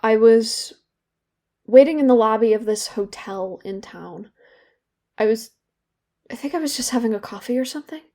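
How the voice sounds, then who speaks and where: A young woman playing a guilt-ridden, wry and bitter therapy patient in an audio drama, speaking candidly and haltingly. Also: on the high side, mellow. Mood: wistful, halting. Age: young adult. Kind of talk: naturalistic audio drama dialogue.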